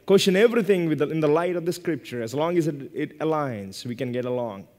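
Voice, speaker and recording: High-voiced man preaching in a reverberant hall. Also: lively intonation, fast talker, a little mellow. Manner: emphatic, short phrases with repetition for stress. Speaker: pastor. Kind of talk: sermon, preaching and teaching from a pulpit. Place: church stage at a lectern, indoors.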